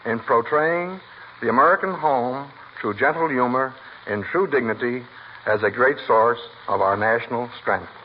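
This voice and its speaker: A man, a veterans' official, reading a ceremonial citation in a formal, stiff, measured way. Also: medium pitch. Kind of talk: formal ceremonial read-out speech. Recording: muffled old radio recording, faint noise.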